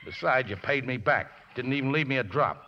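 An actor playing a scrappy, good-hearted riverboat skipper with folksy, ungrammatical speech in a 1950s radio drama. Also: male voice, mid-range voice, a little fast, lightly acted. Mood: pleading, exasperated. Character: scrappy, rough-mannered male riverboat skipper, plain-spoken, good-hearted. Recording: muffled, noisy, reverberant old radio recording.

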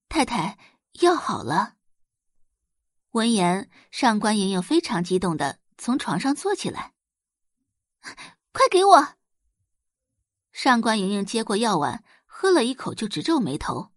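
A woman's voice: mid-range voice, very animated, normal speed, dry recording, studio quality. A woman reading scripted audiobook narration as a storyteller.

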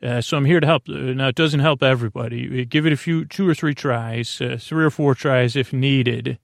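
A man hosting a sleep podcast, with a creaky, gravelly voice, rambling in a meandering, halting monologue that often stops and restarts. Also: medium pitch, fairly even intonation, no room sound, clean studio sound. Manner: meandering, halting, self-deprecating.

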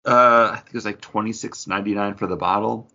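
A man's voice: fairly low pitch, steady tone, fast talker, pushed and bright. A man chatting casually as a podcast co-host, reviewing craft beer. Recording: dull lo-fi audio.

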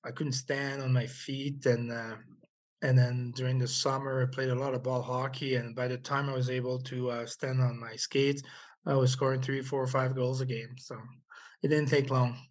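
A middle-aged former hockey player from Haiti, raised in Quebec, telling stories in an interview with many pauses and restarts. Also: male voice, mid-range voice, little pitch movement. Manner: halting, many fillers and restarts. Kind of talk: interview storytelling.

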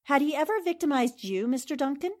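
A woman's voice: high voice, expressive, quick pace, darkish tone. A woman playing a self-assured, inquisitive newspaper reporter turned detective, articulate and probing, in 1940s radio drama style.

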